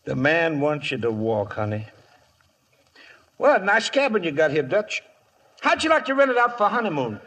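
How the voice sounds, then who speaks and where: Casting: mocking American gunslinger, sharp and sly, dangerous amusement, vintage radio western with long reverb. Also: male voice, fairly high pitch, big pitch swings, moderate pace, long pauses. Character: cocky, taunting male gunslinger, amused and dangerous. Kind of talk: 1950s radio western acting. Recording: reverberant old radio broadcast.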